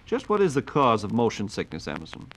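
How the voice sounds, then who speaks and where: A man's voice: fairly low pitch, wildly swooping pitch, quick pace. An American man hosting a scripted 1940s radio program, speaking in a formal, polished, inquiring way as announcer and interviewer. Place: radio studio.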